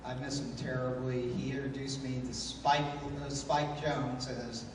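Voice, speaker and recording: A man giving an informal, halting and sentimental introduction as host of a live show. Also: mid-range voice, flat delivery, background noise. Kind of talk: informal stage introduction and dedication. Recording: echoey hall, distant mic, music behind.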